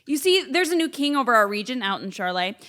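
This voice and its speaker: A woman's voice: a little high, big pitch swings, quick pace, a bit bright. A woman playing an earnest, anxious rebel seeking guidance, speaking with dramatic formality in a comic stage play. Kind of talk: comic stage acting before a live audience. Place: theatre stage, live performance.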